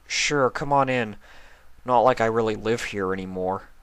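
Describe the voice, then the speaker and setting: Man voicing a sulky, higher-pitched young male pony. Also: normal speed, a bit bright, clear, resonant tone, no echo, lightly acted. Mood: dejected. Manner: petulant, earnest.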